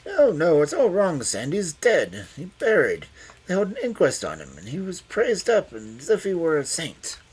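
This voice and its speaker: A man voicing an agitated, feeble-minded old man who breaks into wild, excitable and cunning outbursts. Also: extremely high pitch, moderate pace, few pauses, pitched above the performer's own voice, low-quality recording.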